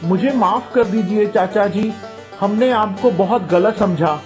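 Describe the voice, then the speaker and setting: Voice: medium pitch, a little slow, a little mellow, faint music behind, natural voice. A performer voicing an earnest, naive young male student in a cartoon, speaking politely and pleadingly. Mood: apologetic. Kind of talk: Hindi cartoon voice acting.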